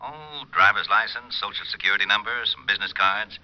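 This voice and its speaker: A harried, none-too-bright policeman played by a man in an old radio mystery. His low voice rattles along quickly and dutifully on a muffled vintage recording. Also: close to an everyday voice. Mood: matter-of-fact. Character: harried, dim but dutiful male police officer. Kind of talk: 1940s-50s radio acting.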